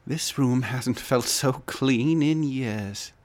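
A man's voice: medium pitch, slow pace, close to an everyday voice. A man acting in a radio drama as an anxious, careworn master of the house, terse and well-mannered. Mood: subdued, worried.

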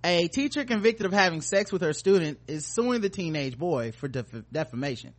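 A man's voice: sits high, animated, moderate pace. A man hosting a podcast in casual, joking banter with informal, profane language. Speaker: podcast host.

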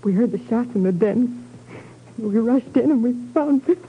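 A woman playing a distraught, earnest new widow, speaking haltingly in a 1950s radio drama. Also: on the high side, background noise, close to an everyday voice. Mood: distraught, bewildered. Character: distraught, bewildered newly widowed young wife. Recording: tinny narrow old radio recording.

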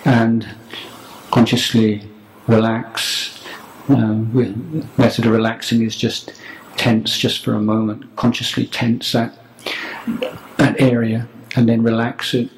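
A man teaching guided meditation, speaking calmly and deliberately, leaving long pauses between phrases. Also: mid-range voice. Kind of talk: spoken guided meditation instruction. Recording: roomy, echoing, faint background noise.

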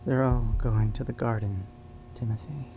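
A man's voice: steady tone, close to an everyday voice. A man playing an eerie, possessed young male in an audio horror drama, muttering ominously and repeating himself as if in a trance. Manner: slow, repetitive, trance-like. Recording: dull, noisy recording with low hum.

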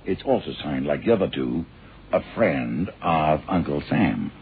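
An actor playing a worried, brisk official who explains things emphatically in a vintage radio crime drama. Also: male voice, extremely low pitch, animated, phone-quality sound, slight background noise, heavily acted. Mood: urgent, grave. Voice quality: husky, rough. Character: worried, brisk male official briefing investigators. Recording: thin, band-limited old radio recording.

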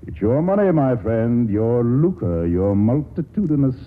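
A wry, urbane writer. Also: male voice, mid-range voice, very animated, measured pace. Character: witty urbane man-about-town writer, wry and breezy. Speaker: radio drama actor. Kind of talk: 1940s radio acting. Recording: tinny old radio broadcast.

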